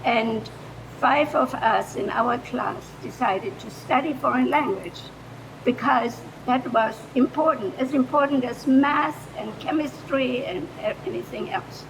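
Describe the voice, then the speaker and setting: An older woman with a German accent, speaking off the cuff in a halting way as a retired language teacher, in a noisy recording. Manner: halting, hesitant delivery. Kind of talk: improvised public remarks. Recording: noisy room recording with low rumble.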